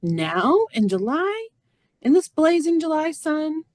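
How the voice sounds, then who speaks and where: A woman's voice: high-pitched, sing-song, slow, a little mellow, dry recording, studio quality, full character voice. A woman reading the part of an earnest, weary, otherworldly mother and farm neighbor in a stage play. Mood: incredulous. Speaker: actor in a staged reader's theater.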